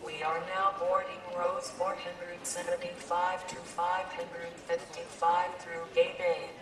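Woman as slow, echoey airport announcer. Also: fairly low pitch, little pitch movement. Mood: impersonal, formal. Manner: formal, deliberate. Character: measured, impersonal female airport gate announcer. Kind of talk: formal public-address announcement. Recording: echoey distant PA, airport crowd.

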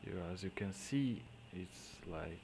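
A man with a Portuguese accent, a native Portuguese speaker, explaining digital painting step by step in halting English, often trailing off into quiet mutters as he works. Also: low voice, expressive, noisy background. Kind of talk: screen-recorded painting tutorial.

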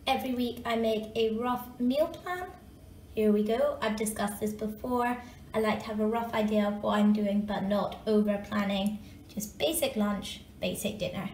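A woman's voice: fairly high pitch, darkish tone. Chatty young Scottish woman, echoey kitchen. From Scotland. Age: young adult. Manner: chatty, upbeat, talks straight to camera. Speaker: student vlogger. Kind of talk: casual cooking vlog. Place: small kitchen, indoors. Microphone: camera mic, handheld and propped up. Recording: echoey kitchen with faint background noise.

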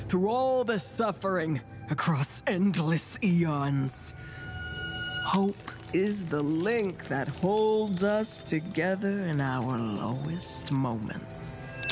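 Brooding wry female game narrator, slow dramatic delivery, deadpan asides, music behind. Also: on the low side. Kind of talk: theatrical scripted narration.

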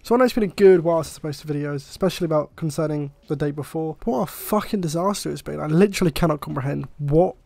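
A man's voice: a little high, fast talker, gravelly, few pauses, a little mellow, no echo. A young man with a cold and a slightly chesty voice, ranting and swearing with exasperation over gameplay footage. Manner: exasperated, swears often, rambling. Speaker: gaming YouTuber.

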